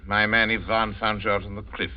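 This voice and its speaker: An actor playing a courteous but menacing aristocratic general, formal and unhurried, in 1940s radio drama style. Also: male voice, lowish voice, flat delivery, close to an everyday voice. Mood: suave. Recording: muffled old radio recording.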